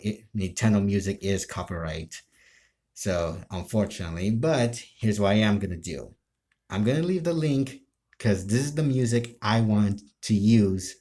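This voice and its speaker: Deep, mellow man rambling to viewers. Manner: rambling, repeats himself, halting explanations. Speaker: video creator and amateur role-player. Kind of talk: casual chat to viewers.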